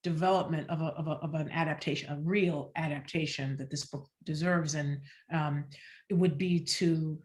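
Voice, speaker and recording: A woman speaking reflectively on a theater panel, searching for her phrasing with halting, thoughtful delivery. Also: deep voice, fairly even intonation, darkish tone. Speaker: theater director and adapter on a panel.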